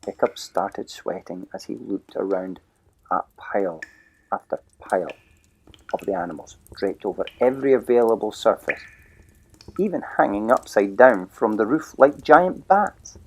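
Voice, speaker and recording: A man narrating a children's storybook audio play in a measured, expressive way. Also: on the low side, breathy edge, low-quality recording.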